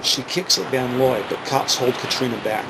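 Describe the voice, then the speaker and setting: A man narrating a scripted crime drama in a measured, matter-of-fact way. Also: mid-range voice, expressive, creaky, background noise. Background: music.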